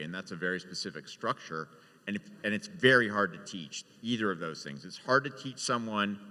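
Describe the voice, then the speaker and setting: Male panelist, showrunner type, forceful bright tone, emphatic explainer, long hall reverb. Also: low-pitched. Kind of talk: panel discussion.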